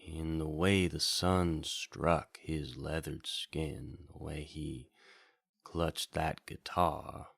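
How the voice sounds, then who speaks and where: A man narrating a radio story as a wandering bard, in a measured, reflective and deliberate way. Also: extremely low pitch, vocal fry, breathy edge, no room sound. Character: well-travelled wandering bard recalling the road. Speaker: host of a radio hour, speaking in character as a wandering bard. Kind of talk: slow scripted storytelling narration, radio drama.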